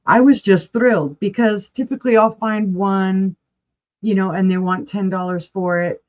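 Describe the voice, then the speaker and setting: A woman's voice: medium pitch, steady tone, moderate pace, a little mellow. Chatty woman running an auction. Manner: chatty, enthusiastic, rambling asides. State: losing her voice, clearing throat. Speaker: live auction seller. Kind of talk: live online auction sale.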